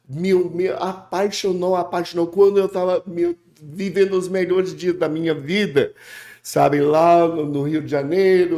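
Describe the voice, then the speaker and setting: Man speaking halting Portuguese. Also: a little high, darkish tone. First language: English. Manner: halting, restarts, repeats words. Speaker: guest preacher. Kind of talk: casual interview chat.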